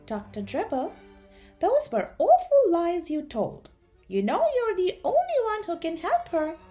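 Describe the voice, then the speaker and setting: Woman voicing storybook octopus apprentice, female, high voice, earnest, polite but firm, lilting. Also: huge pitch swings, slow pace, darkish tone, soft background music, exaggerated voice. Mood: reproachful. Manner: earnest, murmuring.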